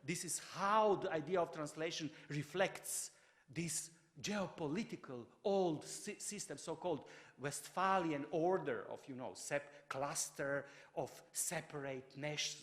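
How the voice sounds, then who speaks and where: Halting man lecturing in a hall. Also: fairly high pitch, a bit bright. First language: Croatian. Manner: halting, many fillers and restarts. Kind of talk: public lecture.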